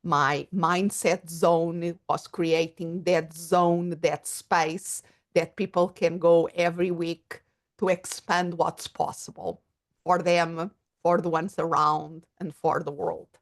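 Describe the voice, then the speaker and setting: A middle-aged woman with a Portuguese accent speaks in a low register. She explains ideas thoughtfully and searches for words, with frequent small pauses and fillers. The talk is a relaxed interview. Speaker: guest psychologist and coach. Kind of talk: casual interview conversation.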